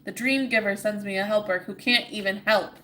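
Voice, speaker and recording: A woman reading aloud and voicing a weary, desperate male wanderer who complains loudly. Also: medium pitch, steady tone, fairly quick, lightly acted. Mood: exasperated. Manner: loud, exasperated complaining.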